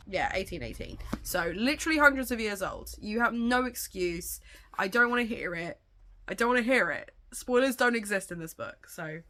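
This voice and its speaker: A woman chatting casually in a reading vlog, her voice gravelly from a cold, rambling and swearing now and then. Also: medium pitch, bright, forceful tone, slight background noise. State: has a cold, sounds gravelly. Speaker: booktuber.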